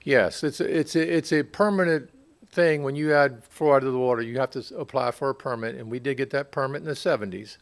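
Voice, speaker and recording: An American man, a borough utility official, answering questions at a public meeting in a conversational, halting way, often repeating himself. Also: medium pitch. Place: public council meeting room.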